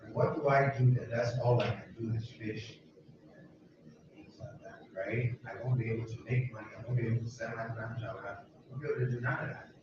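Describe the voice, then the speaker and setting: A man's voice: mid-range voice, steady tone, a little fast, noisy background. A man leading a group through a neighborhood, talking in an emphatic, rambling way and repeating himself. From United States. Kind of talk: informal talk to a walking group. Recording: distant, echoey, muffled with loud ambience.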